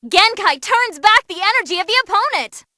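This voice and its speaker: A woman voicing an excitable, cheeky young female tournament announcer in a video game, with theatrical, exclamatory commentary. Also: extremely high pitch, normal speed, no echo, studio quality, exaggerated voice. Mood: explanatory, energetic.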